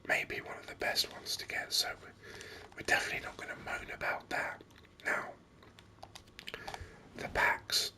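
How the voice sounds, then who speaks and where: A man hosting a gaming video in a breathy ASMR whisper, rambling along unhurriedly close to the microphone. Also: pushed and bright. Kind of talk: ASMR whispered game commentary.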